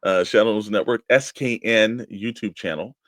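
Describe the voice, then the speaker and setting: A man's voice: on the low side, normal speed, a bit bright, no room sound, studio quality. A man co-hosting a toy collecting show, giving promotional reads and casual plugs.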